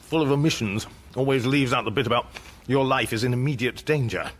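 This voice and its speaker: A man's voice: mid-range voice, brisk, natural voice. An actor playing a fretful, awkward, peevish Englishman with an English accent in a radio comedy. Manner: hesitant, peevish. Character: fretful, awkward ordinary Englishman adrift in space. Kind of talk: radio comedy drama acting.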